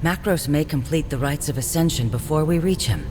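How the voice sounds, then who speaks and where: An actor playing a determined, blunt warrior hero in a video game, earnest and resolute. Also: male voice, fairly high pitch, a little fast, noisy background, close to an everyday voice. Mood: urgent, resolute. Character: determined heroic male warrior, defiant and blunt. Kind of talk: dramatic video game acting. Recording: reverberant, music behind.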